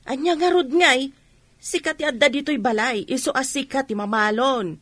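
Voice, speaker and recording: A woman's voice: sits high, moderate pace, a bit bright, no echo. A woman playing a worried, scolding middle-aged rural mother in a radio drama, with an Ilocano accent and an admonishing manner. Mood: alarmed scolding. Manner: admonishing, emphatic.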